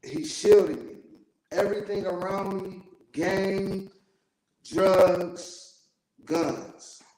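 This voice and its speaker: A man's voice: high-pitched, steady tone, extremely slow, a little mellow, a little hiss or hum. An American man from Ohio talking casually as an interview guest, telling his stories in a rambling, emphatic way. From Youngstown, Ohio, United States. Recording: roomy, echoey sound.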